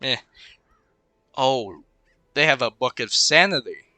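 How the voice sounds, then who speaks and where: A man's voice: mid-range voice, lively intonation, takes it slowly, bright, forceful tone, no echo. A young man casually talking through his game, with a slightly congested voice and a habit of rambling and muttering as he weighs his choices. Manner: rambling, muttering to himself while thinking. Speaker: gaming commentator. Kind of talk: casual let's-play commentary. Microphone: cheap desk microphone.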